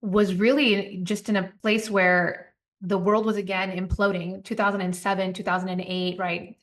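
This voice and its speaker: A woman's voice: mid-range voice, moderate pace. A woman in her forties from Puerto Rico, a company founder telling her career story as a podcast guest in a reflective, storytelling manner. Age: 40s. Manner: reflective, storytelling, animated asides. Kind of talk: podcast interview.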